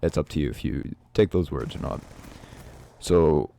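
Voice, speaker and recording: A teenage guy from Canada, sick and stuffed up with a raspy voice, talking through his uncertain future in a hesitant, reflective vlog with many restarts. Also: extremely low pitch, moderate pace, warm tone. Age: teens. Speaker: YouTuber.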